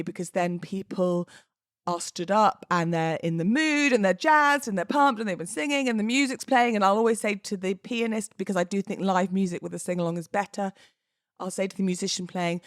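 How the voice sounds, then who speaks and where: A British woman hosting a podcast solo, talking in a casual, cheeky, expressive and informal way. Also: on the low side, dry recording, studio quality. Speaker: podcast host.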